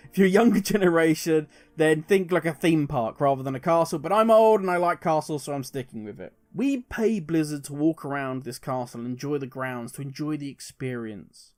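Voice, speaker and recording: A British man giving conversational opinion commentary to viewers as a gaming host, explaining in a measured way with deliberate pauses. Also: on the high side, darkish tone. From United Kingdom. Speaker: gaming video creator and host.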